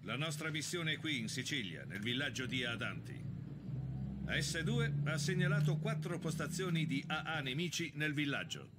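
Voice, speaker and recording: Guy doing a strict ww2 briefing officer, very varied pitch, game voice, noisy background. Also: animated, moderate pace, natural voice. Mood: stern, businesslike. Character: stern, authoritative male WWII army briefing officer. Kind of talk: dubbed video game military briefing. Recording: clearly noisy background ambience.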